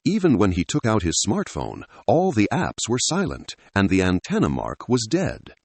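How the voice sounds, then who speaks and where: A man's voice: fairly low pitch, wildly swooping pitch, moderate pace, vocal fry, a little mellow, slightly rough, no room sound. A man narrating an adventure story in a dramatic, scripted style.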